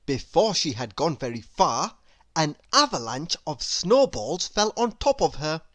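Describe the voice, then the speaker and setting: Slow, very expressive woman reading a storybook. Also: low voice, dry recording. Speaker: storybook reader. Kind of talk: read-aloud narration for children.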